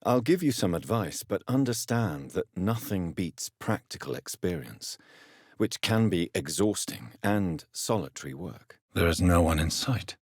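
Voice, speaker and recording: A grave physician turned vampire in a period video game. His voice sits very low and has a husky edge. He speaks in formal, articulate phrases with expressive rises and falls, earnest and courteous. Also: unhurried, no room sound, studio quality, full character voice. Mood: calm, inquiring. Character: grave, courteous male doctor turned vampire, 1910s London. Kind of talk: dramatic video game dialogue.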